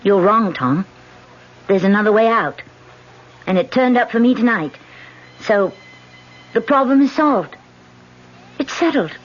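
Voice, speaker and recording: A woman's voice: medium pitch, lively intonation, measured pace, long pauses. A tense, secretive woman. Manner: tense, evasive, hesitant. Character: guarded woman hiding a deadly secret. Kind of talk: 1970s radio drama acting. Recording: dull vintage radio broadcast.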